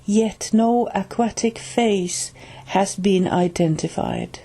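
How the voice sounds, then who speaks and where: A woman with a Finnish accent reads a book aloud at an unhurried, deliberate pace, her voice soft and a little breathy. She goes word by word, now and then stumbling and starting a phrase over, and her tone stays mellow and low-key throughout. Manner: careful, halting reading with restarts on hard words. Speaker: reader of a spiritual book.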